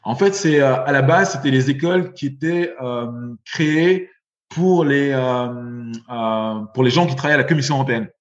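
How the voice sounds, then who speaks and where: A man in his forties from Belgium, a podcast guest talking in a halting way, with many fillers and repeated words. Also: medium pitch, reverberant, highs cut off. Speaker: guest, investor. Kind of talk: podcast interview.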